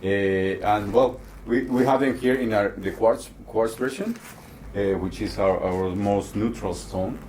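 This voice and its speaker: A man giving casual, halting interview answers at a trade show, often repeating words mid-sentence. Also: lowish voice, a little mellow. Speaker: loudspeaker designer and company co-founder. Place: busy audio trade show. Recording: noisy trade show floor.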